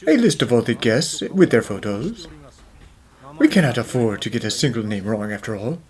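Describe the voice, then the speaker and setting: A man playing a polite, busy mansion butler in an animated mystery fan dub, speaking in a prim, formal way. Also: medium pitch, moderate pace, natural voice. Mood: prim, businesslike. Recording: music under the voice.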